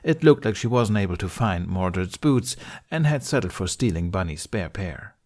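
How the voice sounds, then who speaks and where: A man's voice: sits low, expressive, normal speed, darkish tone. A man reading a scripted story aloud as its narrator. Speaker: fan fiction podcast narrator.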